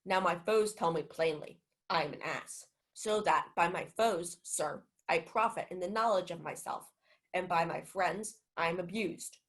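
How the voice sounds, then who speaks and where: A woman's voice: on the low side, moderate pace, close to an everyday voice. A young woman playing a witty, wheedling household clown in a radio-style Shakespeare play. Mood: cheeky, wheedling. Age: young adult.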